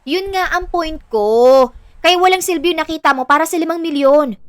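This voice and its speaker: Woman as cheeky scheming little sister, really high voice, dry close sound, audio drama. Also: moderate pace, dry recording, close to an everyday voice. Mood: sarcastic. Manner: sarcastic, cheeky, bossy. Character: scheming, money-hungry, sassy younger sister.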